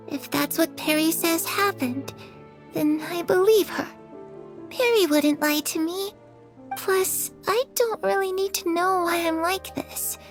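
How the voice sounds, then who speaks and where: A woman voicing a gentle, trusting young girl in a video game, earnest and softly wistful. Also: extremely high pitch. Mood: accepting, curious. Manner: soft, unhurried, earnest. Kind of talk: dramatic video game acting.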